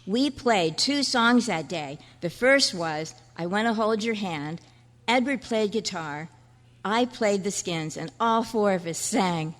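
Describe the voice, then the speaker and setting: A woman's voice: mid-range voice, animated, slow pace. An earnest young boy acted by a woman reminisces in chatty kid slang, in the manner of an old radio play. The voice rings through a large hall with long reverb. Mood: nostalgic, eager. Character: earnest, nostalgic fourth-grade boy. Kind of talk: old-time radio style stage acting. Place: large indoor room at a convention. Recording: echoey hall at a club meeting.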